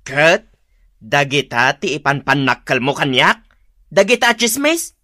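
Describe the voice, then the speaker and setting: Low-voiced, scolding village spouse. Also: female voice, sing-song, a little slow, pushed and bright, no echo, clean studio sound, full character voice. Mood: sarcastic. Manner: scolding, sarcastic. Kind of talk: comedy radio drama acting.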